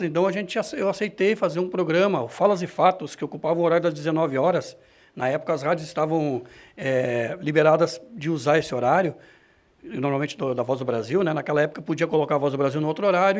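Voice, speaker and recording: A man answering interview questions about his own experience, in a halting, self-correcting way. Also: a little high. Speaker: radio reporter and presenter being interviewed. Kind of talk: reflective interview answer, personal anecdotes.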